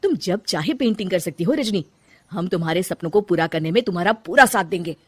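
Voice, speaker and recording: A woman's voice: fairly low pitch, lively intonation, fast, slightly rough, dry recording. A woman voicing an affectionate young husband in a cartoon story, speaking earnestly and pleading with his wife. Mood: warm, supportive. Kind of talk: Hindi cartoon moral story acting.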